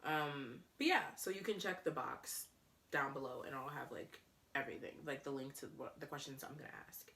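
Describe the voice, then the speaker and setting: A woman's voice: very deep voice, moderate pace, a bit bright, distant mic. A woman in her early twenties from upstate New York, chatting casually and rambling with lots of ums and giggles as a vlogger. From Rochester, New York, USA. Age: 20s. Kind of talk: casual get-to-know-me chat.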